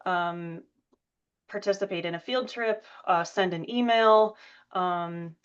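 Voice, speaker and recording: A woman's voice: fairly low pitch, slow, clear, resonant tone. A woman who has a cold leads a meeting over a video call, talking hesitantly with frequent ums. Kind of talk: meeting presentation. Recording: dull lo-fi call audio.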